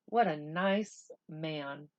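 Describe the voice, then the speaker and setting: A woman reading a storybook aloud for children, with expressive, deliberate phrasing. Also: low-pitched. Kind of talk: read-aloud storytelling with simple asides.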